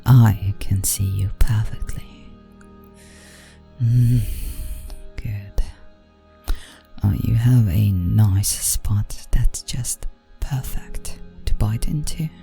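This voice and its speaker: A woman voicing a genteel, doting vampire aristocrat in an ASMR roleplay, soft and breathy, coaxing, often sinking into a whisper. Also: extremely low pitch, extremely slow, long pauses, soft background music, exaggerated voice. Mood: soothing, intimate.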